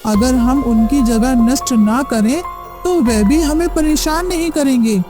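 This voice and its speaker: A woman voicing a wise, cautious old village grandfather in a cartoon moral story, with a measured, advising manner. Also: high-pitched, music in the background. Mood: calm, cautioning. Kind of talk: Hindi animated moral story acting.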